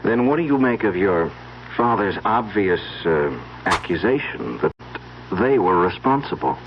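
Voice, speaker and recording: Deep-voiced, uneasy young husband. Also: male voice, very animated, unhurried. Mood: probing. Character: uneasy, suspicious young husband, troubled and incredulous. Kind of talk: old-time radio mystery acting. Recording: dull, noisy old radio recording.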